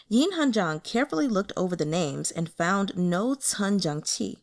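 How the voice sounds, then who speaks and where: A woman reading a translated fantasy web novel aloud in a measured, expressive storytelling manner. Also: on the low side. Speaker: audiobook reader.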